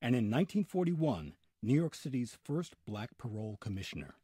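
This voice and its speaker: A man's voice: mid-range voice, very animated, fairly quick, warm tone, breathy edge, dry recording, studio quality. A man with an American accent narrating a scripted history documentary.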